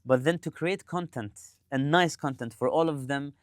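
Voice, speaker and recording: A man's voice: on the high side, moderate pace, no room sound. Arabic-native guy in his 30s, lots of uhs and restarts, dry lapel mic sound. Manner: hesitant, many fillers and restarts. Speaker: real estate company founder, guest. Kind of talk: casual interview answers. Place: studio set with plants and armchairs.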